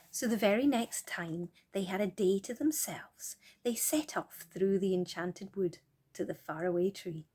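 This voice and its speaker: A woman reading a children's story aloud as an expressive storyteller. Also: mid-range voice.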